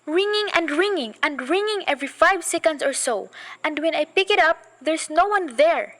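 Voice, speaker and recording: A woman's voice: sits high, animated, normal speed, fairly bright tone. A teenage girl playing a nervous, bedridden wife who complains shrilly in a school radio play. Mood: irritable. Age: teens. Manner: fretful, complaining, rising to panic.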